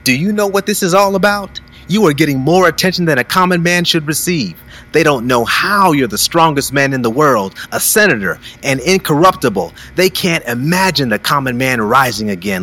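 A man's voice: medium pitch, animated, a little fast, pushed and bright, a little hiss or hum. A man playing a blunt, admonishing lawyer and loyal friend in an audio drama. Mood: indignant.

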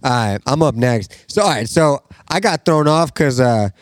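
A man's voice: mid-range voice, expressive, normal speed, dry recording. Radio host guy, super casual, says like a lot, dry close mic. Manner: loose, slangy, packed with "like".

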